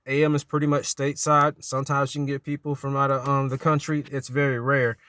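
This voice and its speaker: A man's voice: mid-range voice, level tone, a little fast, pushed and bright, clear, resonant tone. A middle-aged American man talking casually as a CB radio hobbyist, often repeating his phrases. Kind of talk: casual vlog talk and radio calling.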